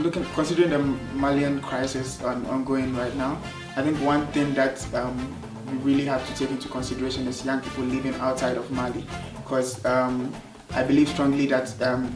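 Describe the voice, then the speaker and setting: A young man from Ghana giving an earnest opinion as a youth speaker. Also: medium pitch. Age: young adult. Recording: echoey room, distant mic, music behind.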